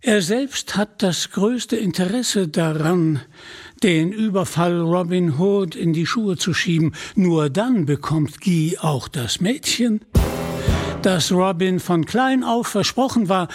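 A man playing a slow, smug, scheming sheriff. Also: sits high, soft background music. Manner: quiet, unhurried, sly. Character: scheming, smug, calm Sheriff villain, whispering. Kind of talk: storybook character acting.

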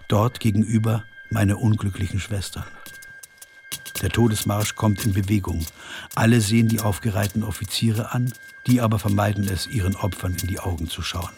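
An actor playing an anguished camp prisoner bearing witness in a radio drama, with solemn, deliberate, sorrowful delivery. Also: male voice, fairly low pitch, vocal fry, noisy background. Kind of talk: dramatised testimony reading for radio. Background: music.

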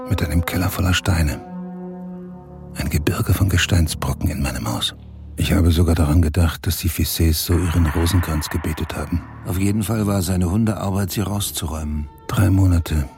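Tired devoted dad in a radio drama, really low voice, faint music. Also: male voice, darkish tone. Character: weary, devoted father who toils hard.

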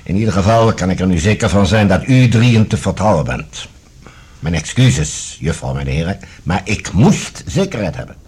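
Very husky, commanding general. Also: male voice, deep voice, sing-song, darkish tone, full character voice. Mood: measured, guarded. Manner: measured, formal, courteous but firm. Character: composed, authoritative male general, head of security service. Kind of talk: Dutch radio drama acting.

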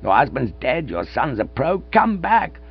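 A man's voice: medium pitch, moderate pace, soft background music, voice pushed up, lightly acted. A man voicing an old male stage veteran, blustering and insistent, in a storybook reading. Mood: ardent. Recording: dull lo-fi with mains hum.

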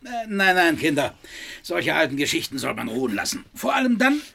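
A man's voice: fairly high pitch, very animated, fairly quick, gravelly, sharp tone, slightly rough, exaggerated voice. An actor playing a proud old adventurer and father in a radio drama, jovial but easily flustered and stammering. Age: older. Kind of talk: German radio drama acting.

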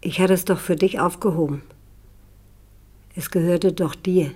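Eine Schauspielerin in einem deutschen Hörspiel spielt eine liebevolle, beschämte Tante, die stockend und vertraulich von dunklen Zeiten erzählt. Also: female voice, on the low side, fairly even intonation, a little slow, dark tone, slightly rough. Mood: ashamed, tender. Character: ashamed, affectionate aunt recalling dark times. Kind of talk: German radio play dialogue.

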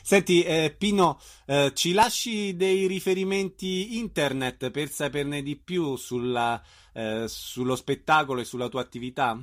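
A man's voice: a little high, a little slow, few pauses. A man working as an interviewer, asking questions in a conversational way.

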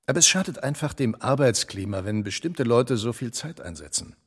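Radio drama: officious, patronizing superior, mid-pitched husky voice, formal and clipped. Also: male voice, animated, fairly quick. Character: officious, condescending French male department boss. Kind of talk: radio play dialogue.

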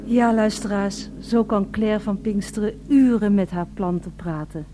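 A woman playing the narrator of a radio play, addressing listeners formally and deliberately, with wry mock solemnity. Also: mid-range voice, over music, lightly acted.

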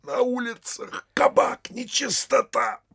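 An actor playing an anxious, blustering middle-aged town mayor in a comic audio drama, with a husky, gravelly voice. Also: male voice, very high-pitched, steady tone, slow, fairly bright tone, no room sound, full character voice. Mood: panicked.

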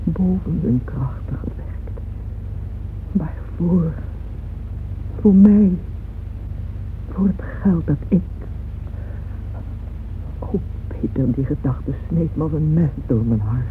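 Anguished mother, remorseful confession, solemn slow radio drama delivery, thin noisy old recording. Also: deep voice, narrow, tinny audio. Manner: solemn, slow, intensely emotional delivery with whispers. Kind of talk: dramatic radio play monologue.

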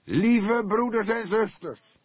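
A man voicing a tense, fervent congregation leader of about sixty in an audiobook, breathy, peremptory and unctuous. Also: high voice, slow, no echo, voice pushed up, exaggerated voice. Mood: unctuous, loud.

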